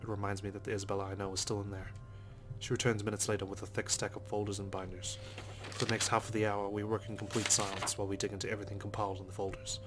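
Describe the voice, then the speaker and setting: A man's voice: on the low side, level tone, rapid-fire, very noisy. A man reading a visual novel's narration aloud as a let's play host. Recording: game music behind the voice. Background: game music.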